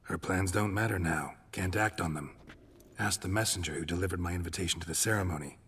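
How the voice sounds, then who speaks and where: A gruff, weary monster hunter with a very low voice. He talks in terse, deadpan lines, as in a fantasy game, over faint background ambience. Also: fast, a little hiss or hum. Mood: deadpan, matter-of-fact. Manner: terse, deadpan, clipped sentences. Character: gruff, weary, laconic male monster-hunting swordsman. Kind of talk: video game voice acting.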